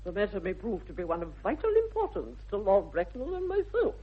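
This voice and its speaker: A woman's voice: medium pitch, wildly swooping pitch, fairly quick, husky. An actress playing a snobbish, haughty older aristocratic lady with an upper-class English accent, pompous and precise, in a British radio comedy. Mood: suspicious. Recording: dull vintage radio recording.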